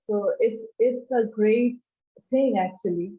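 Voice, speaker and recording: Halting Bangladeshi woman, tinny echoey sound. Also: fairly high pitch. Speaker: interview guest, advertising creative. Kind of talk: conversational interview answers.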